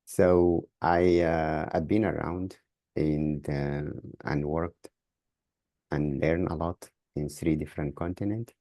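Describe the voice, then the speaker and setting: A man from Sudan with a Sudanese accent, answering as a podcast guest in a halting way, repeating words as he searches for phrasing. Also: a bass voice, fairly even intonation, dark tone, dry recording. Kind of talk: interview answers.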